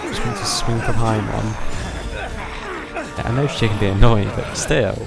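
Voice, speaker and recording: Guy streaming a game, bit deep and croaky, dry casual comments, music in the back. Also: normal speed. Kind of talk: casual live gameplay commentary.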